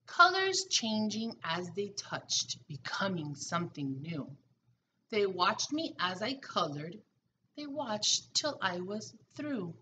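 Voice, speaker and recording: A middle-aged woman, a preschool teacher, reading a picture book aloud expressively and deliberately to young children. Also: mid-range voice, very animated, pushed and bright, slight background noise, rough recording. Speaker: pre-K teacher. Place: small room at home, indoors.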